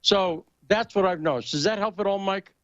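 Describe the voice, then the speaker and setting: A man's voice: sits high, moderate pace, fairly bright tone, no room sound. A man hosting a call-in radio talk show, talking loosely and joking with callers. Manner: loose, rambling delivery with asides to callers. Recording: muffled, lo-fi radio broadcast audio.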